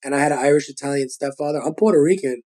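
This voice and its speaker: A man in his forties with a thick Brooklyn accent, telling stories in a casual, animated, slangy way as an interview guest. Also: fairly high pitch, racing. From Brooklyn, New York. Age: 40s. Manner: animated street-style storytelling, slangy. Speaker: guest rapper.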